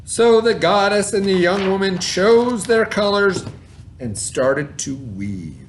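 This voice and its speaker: A male teacher with a moderately high voice reads and explains for children. His delivery is informal and direct, in the manner of classroom storytelling and review. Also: animated, takes it slowly, a little hiss or hum. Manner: informal, questioning, talks directly to pupils. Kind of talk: children's read-aloud and lesson.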